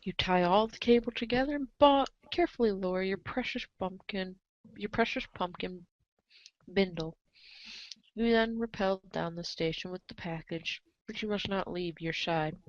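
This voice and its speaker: A woman's voice: medium pitch, moderate pace, no echo. A woman reading scripted narration of a story in a readers' theater show. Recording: dull lo-fi microphone sound.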